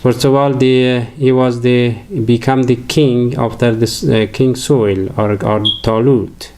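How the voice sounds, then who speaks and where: An older man from Afghanistan with an Afghan accent, a native Farsi speaker, giving a halting religious lecture full of fillers and restarts. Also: medium pitch, fairly even intonation, a little mellow, slight background noise. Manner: hesitant, many fillers and restarts.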